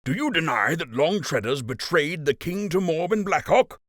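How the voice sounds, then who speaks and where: A man's voice: fairly high pitch, expressive, normal speed, a bit bright, no echo, clean studio sound, voice pushed up. A man voicing a fierce old rabbit army captain in an audiobook, snarling and accusing. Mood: scornful, furious.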